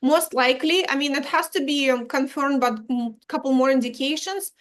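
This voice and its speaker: Halting woman guest. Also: sits high, fairly bright tone. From Ukraine. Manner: halting, many restarts, drops articles. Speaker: Vedic astrologer guest. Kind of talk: explanatory interview talk.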